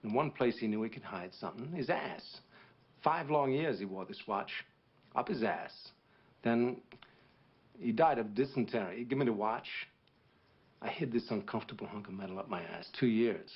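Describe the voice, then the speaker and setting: A man playing a grave, middle-aged war veteran officer who speaks in a measured, solemn way, as if telling a story to a child. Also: fairly low pitch, slightly rough, dull audio. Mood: intense. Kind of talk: dramatic monologue performance.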